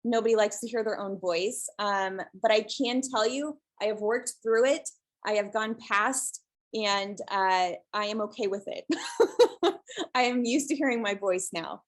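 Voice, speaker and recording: Calm woman, webinar presenter on a video call; soft, unhurried, hesitant fillers. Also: mid-range voice. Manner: relaxed, many ums, soft and calm. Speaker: guest presenter, shelter social media creator.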